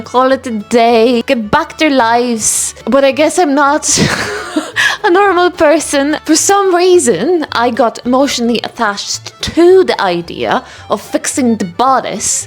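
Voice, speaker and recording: A woman vlogging about her sewing in a casual, self-mocking way, laughing often. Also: fairly high pitch. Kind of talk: casual chat to camera.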